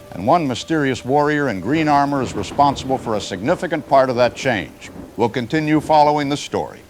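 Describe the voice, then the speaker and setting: A man playing a grave news anchor in an audio drama, delivering formal, urgent crisis reports in a measured way. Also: mid-range voice, a little mellow, natural voice. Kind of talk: scripted broadcast news reporting.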